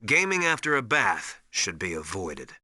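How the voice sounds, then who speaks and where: An actor playing a stern veteran military survival instructor in a video game, briskly lecturing with emphatic, commanding authority. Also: male voice, fairly low pitch, sing-song, pushed and bright, dry recording, studio quality, full character voice. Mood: stern, instructive. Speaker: mission survival adviser. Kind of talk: video game voice acting, instructional radio briefings.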